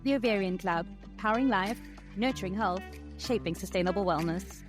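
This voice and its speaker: A woman's voice: mid-range voice, brisk, over music. A woman in her early thirties with a South African accent, chatty and articulate as she co-hosts a podcast. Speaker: podcast co-host, medical doctor. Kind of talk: conversational podcast introduction.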